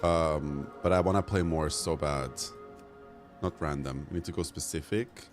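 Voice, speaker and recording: A man with a low voice talks in a chatty, slangy way as he streams a game. His delivery is casual and conversational. Music plays behind him. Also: normal speed. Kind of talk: casual livestream chat while gaming. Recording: loud background music.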